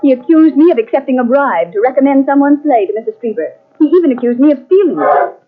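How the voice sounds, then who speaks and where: An actress in an old-time radio mystery playing a guarded, principled secretary who speaks with formal restraint. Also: female voice, high-pitched, animated, fast. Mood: grave recounting. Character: guarded, principled female secretary narrating her ordeal. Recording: tinny, band-limited old radio cassette transfer.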